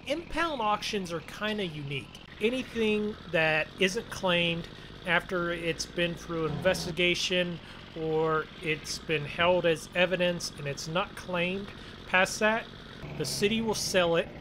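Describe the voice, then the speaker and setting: A man hosting a gaming video with casual, folksy, chatty roleplay commentary about farming. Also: sits high. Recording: clear vehicle engine noise behind. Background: game vehicle engine sounds.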